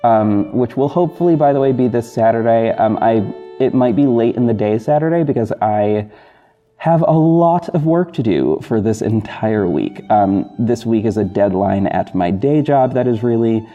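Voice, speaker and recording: A man hosting a podcast, talking casually and apologetically to listeners in a halting, self-correcting, rambling way. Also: medium pitch, expressive. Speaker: podcast host and audio drama creator. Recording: dull lo-fi recording with music bed. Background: soft guitar backing music.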